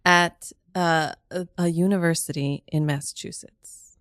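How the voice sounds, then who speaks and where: A woman with a slightly low voice chats as a show guest. She is casual and playful, doubling up words for emphasis. The sound is dry, without any room echo. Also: steady tone, a little slow, a bit bright. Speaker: guest, mime company founder. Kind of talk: casual interview chat.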